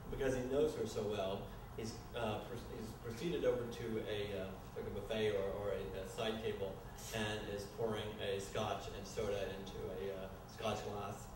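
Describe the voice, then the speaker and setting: A man narrating a staged screenplay reading in a loose, improvised, halting way, often stumbling over his words. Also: on the low side, level tone. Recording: echoey room, distant mic, noisy.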